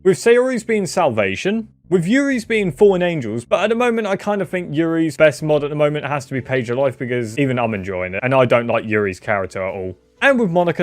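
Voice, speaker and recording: A man's voice: a little high, sing-song, brisk, faint music behind. A man giving casual, opinionated guest commentary in an informal, joking, sweary manner. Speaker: guest video contributor.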